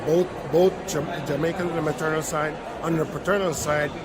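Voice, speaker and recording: A man's voice: on the high side, fairly even intonation, fairly quick, very noisy. An older man from Panama speaking hesitantly about his family roots.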